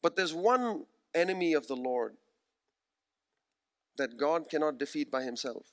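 A man in his forties preaching a sermon, earnest and emphatic, slowing down to repeat key phrases. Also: on the high side, expressive. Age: 40s.

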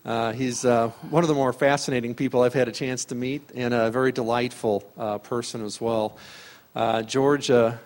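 A man introducing a guest speaker at a church gathering, halting often with fillers. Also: medium pitch, fairly even intonation, a little mellow.

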